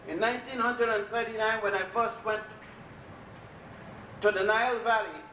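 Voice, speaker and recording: An older man giving a public lecture from a podium, blunt and defiant, telling his story with long pauses. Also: high voice, pushed and bright, far from the mic, slight background noise. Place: lecture hall at a podium before a chalkboard. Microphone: podium mic on a stand, filmed from a distance. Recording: echoey hall, recorded from afar.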